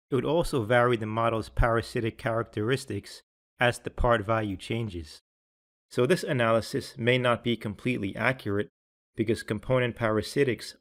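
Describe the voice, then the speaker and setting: A man reading scripted tutorial narration in a measured, instructional way, with each phrase clearly enunciated. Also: mid-range voice, fairly bright tone.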